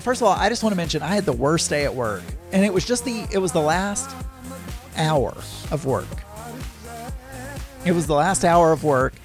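A podcast host with a Southern US accent, chatting casually and candidly on their own, often breaking off mid-sentence and starting again. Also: mid-range voice. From American South. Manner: rambling, self-interrupting, chatty and candid. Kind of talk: casual solo podcast chat.

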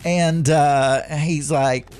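A man's voice: fairly high pitch, fairly even intonation, drawn-out words, darkish tone, clean tone, soft background music. A man with a Southern accent chatting casually as a podcast host, rambling through his weekend with frequent fillers and self-corrections. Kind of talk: casual chat.

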